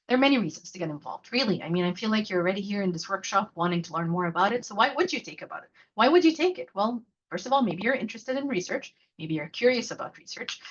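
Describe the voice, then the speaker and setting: A woman presenting a workshop over a video call as faculty mentor and coordinator, chatty and loose, full of fillers and restarts. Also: mid-range voice. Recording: slightly dull video call audio.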